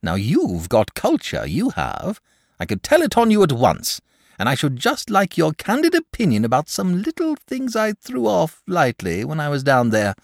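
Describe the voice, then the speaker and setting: A man's voice: a little high, huge pitch swings, normal speed, vocal fry, no echo, clean studio sound, voice pushed up, full character voice. A man voicing a huge, mild, lazy dragon in a storybook, with a genteel, chatty and sociable manner. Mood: eager, effusive. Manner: genteel, chatty, bashful, eager to please.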